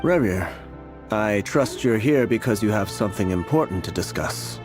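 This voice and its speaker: A calm seer of high standing from a video game, played by a man, speaks in a low, stately voice. Each phrase is formal, measured and knowing, with loud music behind. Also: lightly acted. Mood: composed, knowing. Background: loud background music.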